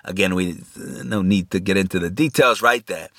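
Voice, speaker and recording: A man's voice: low voice, moderate pace, fairly bright tone, dry recording, clean studio sound. A man giving an unscripted philosophical lecture, thinking aloud with many fillers and restarts and asking rhetorical questions. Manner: poses rhetorical questions, asks "right?".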